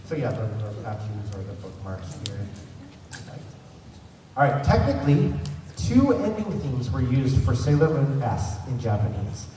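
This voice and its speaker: A man's voice: mid-range voice, big pitch swings, unhurried, dark tone. A man hosting a fan convention panel, talking casually to an audience as he runs trivia. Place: large convention centre room. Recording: echoey hall, distant mic, faint noise.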